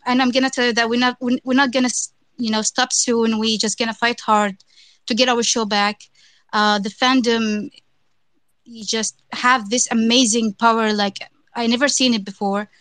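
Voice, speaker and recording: A woman with a slightly raised pitch hosts an online fan event, speaking into a close, dry mic. She is eager and thankful, and she laughs often between her lines. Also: a bit bright, no echo.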